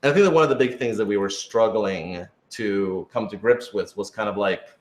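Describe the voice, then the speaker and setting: Low-voiced man in an echoey room. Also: a little fast. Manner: rambling, thinking aloud. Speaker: writer and producer of an audio drama. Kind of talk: casual Q&A panel chat. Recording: roomy, echoey room sound.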